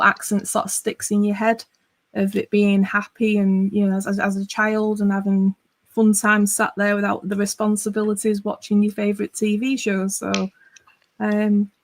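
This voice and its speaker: A middle-aged woman from northwest England talks in a relaxed, meandering way and fills her speech with "you know" and "um". Her accent comes from near Liverpool, and her pitch sits in an ordinary middle range. She sounds like she's hosting a casual live stream from home. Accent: northwest English. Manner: chatty, rambling, many fillers. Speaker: livestream host. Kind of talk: casual chat.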